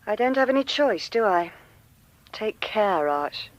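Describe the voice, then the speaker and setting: An actress playing an anxious, loyal confidante in a radio drama, hesitant and concerned. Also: female voice, medium pitch, expressive, unhurried, no room sound, highs cut off, natural voice. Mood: reluctant, resigned.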